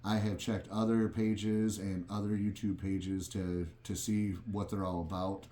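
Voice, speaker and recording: Mellow, low-voiced man, roomy sound. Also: flat delivery, measured pace. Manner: conversational, plain-spoken. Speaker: product reviewer and host. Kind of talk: casual unboxing review for a vlog. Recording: roomy, echoing room sound.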